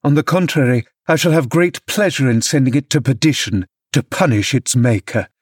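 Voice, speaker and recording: A man's voice: mid-range voice, moderate pace, husky, dry recording, clean studio sound, close to an everyday voice. A man voicing a drunken, violent young father who rages and curses. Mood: bitter. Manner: raging, blustering.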